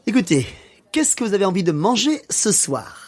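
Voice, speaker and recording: A man playing a friendly, joking French accountant and father in a learner's radio play, speaking clearly and conversationally. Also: high-pitched, very animated, normal speed.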